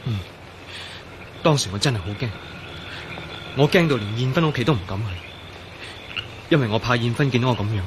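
A man's voice: medium pitch, fairly quick, pauses often, background noise, close to an everyday voice. An actor playing a frightened, hunted young man in a radio drama, anxiously explaining himself. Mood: fearful confession. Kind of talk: Cantonese radio drama acting.

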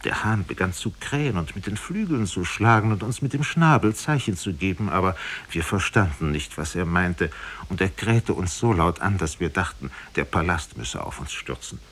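A man's voice: deep voice, expressive, normal speed, fairly bright tone, slight background noise. A man narrating a fairy tale in a solemn, dramatic 1950s radio storytelling style.

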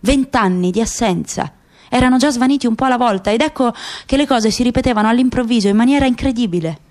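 A woman narrates literary prose for radio theatre. Her voice is fairly high for a woman, and she reads in a dramatic, performed style. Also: fast talker, darkish tone. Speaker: narrator, actress.

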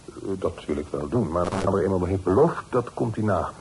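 An actor playing an earnest, secretive grown son, formal and composed, in a period radio drama. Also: male voice, low-pitched, animated, normal speed, a little mellow. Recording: noisy old radio play recording.

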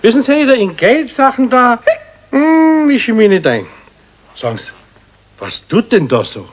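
An actor playing a fussy, nosy, officious apartment caretaker with a Bavarian accent in a children's radio play. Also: male voice, very high-pitched, sing-song, moderate pace, heavily acted. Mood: fussy, apologetic. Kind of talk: 1970s German children's radio play acting.

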